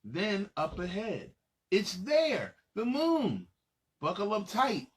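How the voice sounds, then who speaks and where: A man's voice: sits high, wildly swooping pitch, slow. A man reading a children's storybook aloud as host, in a playful, dramatic manner, speaking to young listeners.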